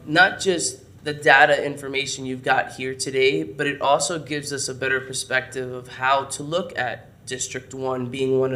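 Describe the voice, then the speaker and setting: Hoarse man briefing a city meeting. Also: medium pitch. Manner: explanatory, steady briefing. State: hoarse, losing voice. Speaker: parks department director. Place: city council chamber.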